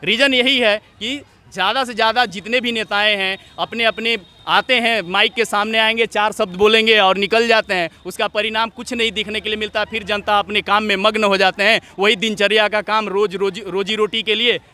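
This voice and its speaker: A man's voice: high voice, steady tone, moderate pace, sharp tone. A young man giving earnest, repetitive, opinionated answers in speech-like phrasing as a student leader in a street interview. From Jharkhand, India. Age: young adult.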